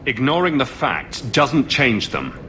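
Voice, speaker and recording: A man's voice: mid-range voice, very animated, takes it slowly, pushed and bright, slight background noise, exaggerated voice. An actor playing a blunt, serious male hero in a fantasy game, matter-of-fact in manner. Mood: grave. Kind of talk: dramatic game acting.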